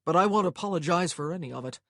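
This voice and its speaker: Woman playing a proud, defiant, husky gentleman. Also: on the low side, lively intonation, quick pace, no echo, studio quality. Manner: formal, defiant. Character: proud, unapologetic thirty-year-old gentleman, romantic rival.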